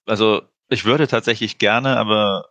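A man as a podcast guest, relaxed and wry as he tells stories. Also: lowish voice, moderate pace, dry recording. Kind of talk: casual chat.

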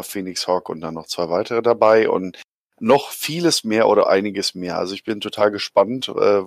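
Hoarse, gravelly man chatting excitedly. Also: on the low side, dry recording, studio quality. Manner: excited, rambling, jokey. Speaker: podcast co-host. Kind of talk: enthusiastic casual chat.

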